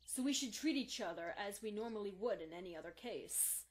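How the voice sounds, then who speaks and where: A woman's voice: medium pitch, lively intonation, brisk, lightly acted, low-quality recording. Woman as a patient young unicorn mentor. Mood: gentle, reassuring. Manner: reassuring, instructive. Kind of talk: fan fiction audio drama.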